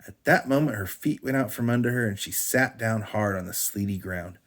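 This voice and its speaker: A man reading a storybook aloud to a child as a father does at bedtime, in an animated storytelling style. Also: on the low side, a little fast.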